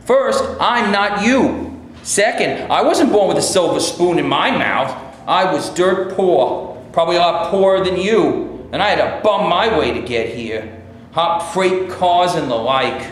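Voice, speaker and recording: A man's voice: high-pitched, lively intonation, a little slow. A man reading the part of Randy, a brash, dismissive minstrel singer, in a stage reading before a live audience. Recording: echoey hall from far off, faint noise.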